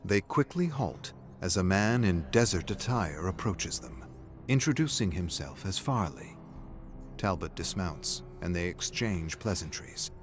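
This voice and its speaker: A man narrates a dramatic scripted story in a deep voice. Faint music plays underneath his reading. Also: animated, measured pace.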